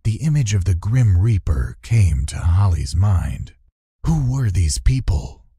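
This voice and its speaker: A low-voiced man reads a children's fantasy tale with theatrical suspense. His delivery is unhurried and very husky, with lively swings in intonation. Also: no echo, studio quality. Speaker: audiobook narrator. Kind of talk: dramatic scripted children's fantasy narration.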